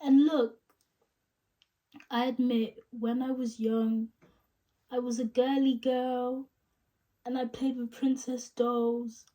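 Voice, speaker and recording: A woman playing a regretful newlywed wife in a dramatic monologue, confessing in a halting, emotional, vulnerable way. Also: on the high side, little pitch movement, dark tone, recorded from a distance, voice pushed up. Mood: tearful, pleading. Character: regretful newlywed wife tearfully ending her marriage.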